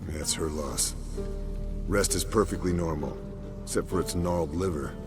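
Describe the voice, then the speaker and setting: An actor playing a seasoned, methodical monster hunter in a fantasy game, measured and dryly humorous. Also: male voice, sits low, natural voice. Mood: clinical, wry. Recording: music behind the voice.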